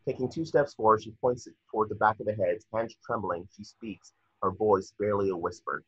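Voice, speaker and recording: A man's voice: on the low side, a little fast, husky, no room sound. A young man reading screenplay stage directions as scripted narration, on dull video-call audio. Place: indoor room at home, on a video call.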